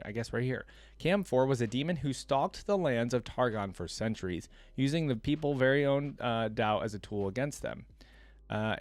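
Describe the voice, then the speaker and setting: Man, mid-pitched voice, casual reaction commentary, informal asides, thinking out loud, close dry recording. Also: no room sound. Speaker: reaction video host. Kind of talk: casual commentary, reading wiki text aloud with asides.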